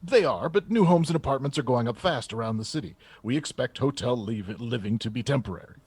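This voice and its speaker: An actor playing a confident, combative presidential chief of staff in a radio theater piece, answering in an assertive, polished politician's manner. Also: male voice, mid-range voice, expressive, brisk, a little mellow, slightly rough, no echo. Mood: assured. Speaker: actor in an audio drama.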